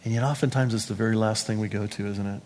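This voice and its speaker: A man's voice: lowish voice, steady tone, fast, darkish tone. A man preaching a conversational verse-by-verse sermon, often restating phrases and putting questions to his listeners. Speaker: pastor, preacher. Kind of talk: sermon, verse-by-verse Bible teaching to a congregation. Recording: long hall reverb.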